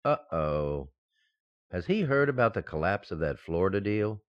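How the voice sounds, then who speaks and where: Man playing nervous employee, sitcom, deep voice, sheepish, slightly dull recording, earnest delivery. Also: sing-song, normal speed, no room sound. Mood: worried. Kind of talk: radio sitcom acting.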